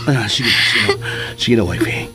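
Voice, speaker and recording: A man's voice: fairly low pitch, unhurried, natural voice. A man playing a devoted, tender young husband in a radio drama, with a Cebuano Filipino accent. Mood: soothing. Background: music.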